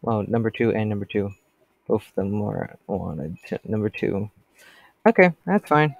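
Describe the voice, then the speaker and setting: A man's voice: on the low side, very animated, moderate pace, vocal fry, no echo. Stuffy-nosed teen boy chatting over a game. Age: teens. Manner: chatty, rambling, talks to customers. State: stuffy from a cold. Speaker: gameplay commentator. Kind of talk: casual gameplay commentary.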